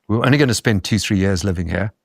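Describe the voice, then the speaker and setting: A man's voice: lowish voice, rapid-fire, gravelly, no echo, clean studio sound. A South African man in his sixties, a guest telling stories bluntly in a casual interview. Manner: blunt, expansive storyteller, swears freely.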